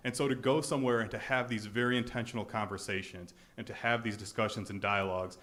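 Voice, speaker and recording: A man's voice: fairly low pitch, fairly even intonation, fast talker, fairly bright tone. A man speaking on a panel, reflective and repeating words as he thinks. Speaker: alumni panelist. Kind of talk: panel talk at a keynote.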